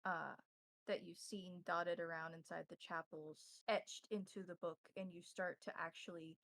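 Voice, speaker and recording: Creaky-voiced woman game master. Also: lowish voice, steady tone, normal speed, a bit bright, no room sound. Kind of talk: narrating a tabletop roleplaying game.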